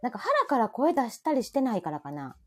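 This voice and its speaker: A woman's voice: a little high, huge pitch swings, a little fast, a little mellow, soft background music. A woman in her forties with a Kansai accent, chatting casually and playfully as host of a live audio show, often giggly. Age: 40s. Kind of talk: casual chat.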